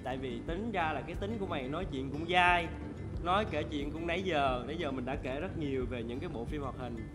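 Male host, twenties, Southern Vietnamese accent, quick casual banter, music underneath. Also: a little high. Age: 20s. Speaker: talk show host playing a shop owner. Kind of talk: casual teasing chat. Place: large indoor studio set of an old shop. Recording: music behind the talk.

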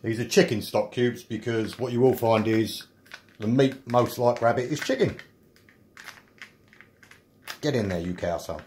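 A man's voice: mid-range voice, measured pace, long pauses, darkish tone, slight background noise. A man chatting casually through a home cooking video, explaining each step with self-mocking asides. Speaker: home cook. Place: small home kitchen.

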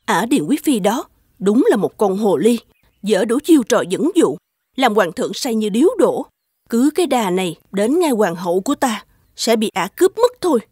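A woman's voice: on the high side, sing-song, moderate pace, darkish tone, breathy edge, no echo, studio quality. A woman voicing a jealous, imperious empress in a historical court cartoon. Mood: resentful. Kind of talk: dubbed historical cartoon acting.

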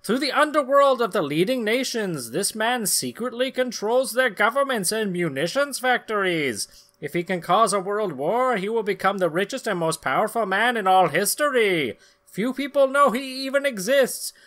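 An earnest pulp adventure narrator, put on by a man, delivering a comic-book story dramatically. The voice is raised and forcefully bright. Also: sits high, expressive, normal speed, voice pushed up. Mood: grave, dramatic.

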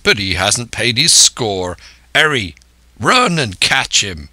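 A man acts out a hearty old-time seafaring landlord from an adventure storybook. He is jovial yet domineering, booming out salty sailor talk in a bright, forceful, close and dry voice. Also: very animated, slow pace, no room sound, heavily acted. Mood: loud, indignant.

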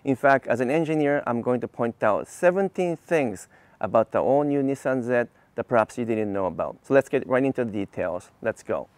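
A man's voice: mid-range voice, lively intonation, moderate pace, a little mellow, dry recording. A man in his fifties from Japan, an engineer reviewing cars to camera in an enthusiastic, explanatory, casual way. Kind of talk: car review to camera, semi-scripted casual talk. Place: outdoors on a quiet leafy residential street. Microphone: clip-on lavalier mic on the chest.

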